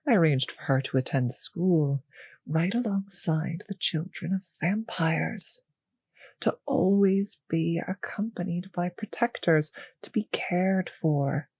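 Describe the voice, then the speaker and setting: A woman performs a seductive, possessive vampire mistress for an intimate audio drama. Her delivery is slow and condescending, a teasing purr that slips toward whispers. The voice is breathy with a crackle of fry, recorded very dry and close. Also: lowish voice, a little mellow, no echo, full character voice. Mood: condescending, veiled threat. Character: cruel, possessive, seductive female vampire mistress toying with servant. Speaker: voice actor in an audio roleplay. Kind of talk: intimate scripted audio roleplay.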